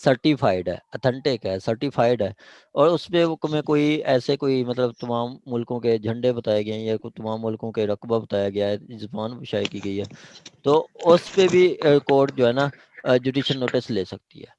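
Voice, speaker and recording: A man's voice: mid-range voice, moderate pace, a little mellow, a little hiss or hum. A man with a Pakistani accent gives an informal law lecture, explaining point by point with repetition and English legal terms mixed in. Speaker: law teacher. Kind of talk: informal lecture explaining statute clauses to a class.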